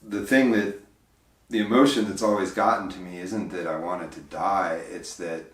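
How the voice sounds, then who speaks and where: A man with a big, deep voice, speaking as an interview guest in a reflective, hesitant way, full of fillers and restarts. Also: steady tone, moderate pace, recorded from a distance. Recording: roomy, voice from off mic.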